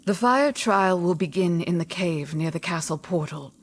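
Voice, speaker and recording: An actress playing a solemn, all-knowing mystic mentor in a video game, measured and cryptic in manner. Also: female voice, on the low side, lightly acted. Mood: guiding. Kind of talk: video game cutscene acting.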